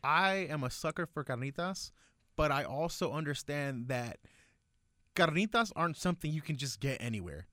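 A man's voice: on the high side, expressive, normal speed, sharp tone, no room sound, studio quality. A man co-hosting a casual food chat, talking in a laid-back, slangy way. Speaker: podcast co-host.

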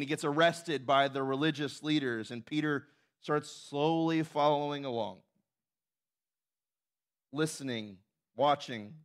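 A man preaching a sermon as pastor, conversational, often asking "right?" and acting out quotes with a raised voice. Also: medium pitch. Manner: animated, acts out quotes with raised voice.

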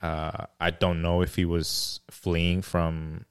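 Deep, smooth-voiced man hosting a podcast. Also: slow pace. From Mexico. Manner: relaxed, hesitant, many fillers and restarts. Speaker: podcast host. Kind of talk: casual chat.

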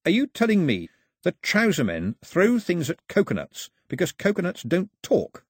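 A man performs a curious, literal-minded island boy from a storybook. The voice is lifted high with very mobile intonation, puzzled and sceptical, on a dry, close recording. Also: huge pitch swings, moderate pace, slightly rough, no echo, exaggerated voice. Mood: puzzled, probing. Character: inquisitive, sceptical island boy full of questions. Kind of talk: storybook character dialogue.

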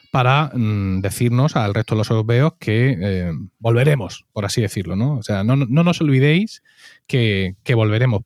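Man from Spain, halting co-host. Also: mid-range voice, darkish tone, dry recording, studio quality. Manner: hesitant, measured, with fillers. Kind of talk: casual discussion.

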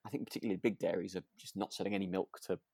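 A man's voice: low voice, fairly even intonation, very fast, a little mellow, no echo. British man, close dry sound, chatting. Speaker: podcast co-host. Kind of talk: relaxed casual chat about a radio drama.

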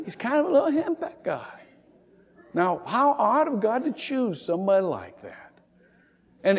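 A pastor preaching a sermon, a man with a high voice that swings up and down a lot. He tells his stories in a folksy, humorous way and slips into little acted-out voices along the way. The sound carries a long reverb from a large hall. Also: a little mellow.

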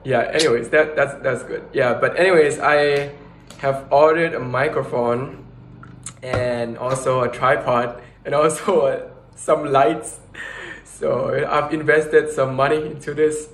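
A young man hosts a loose, casual podcast, giggling often and rambling through fillers and restarts. His voice sits at a middle pitch. The room around him rings with echo. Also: steady tone, measured pace, slight background noise. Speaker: podcast host. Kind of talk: casual chat.

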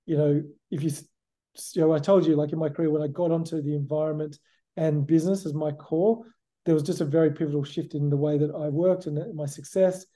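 A man's voice: a little high, fairly even intonation, fairly quick, mellow. A middle-aged Australian man answering interview questions in a reflective, conversational way, often saying "you know" and "look". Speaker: guest.

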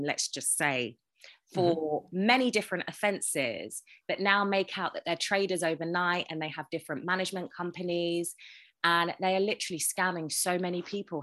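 A woman's voice: lowish voice, a bit bright. A woman hosting a podcast interview, asking pointed, indignant questions. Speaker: podcast host.

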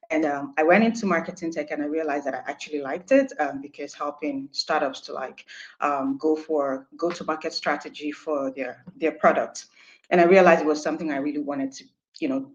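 A woman from Nigeria introducing herself on a video call, apologetic and with frequent ums. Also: sits low. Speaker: community co-founder. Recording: dull lo-fi call audio.

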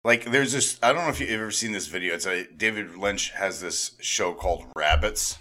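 A man co-hosting a podcast in casual banter, excitable and emphatic, often repeating himself. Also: low-pitched, normal speed. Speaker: podcast host.

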